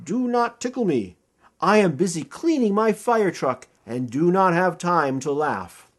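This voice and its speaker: Man acting a brusque, busy storybook grown-up, swinging pitch. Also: expressive, unhurried. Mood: annoyed. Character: busy grown-ups scolding a pesky tickle bug.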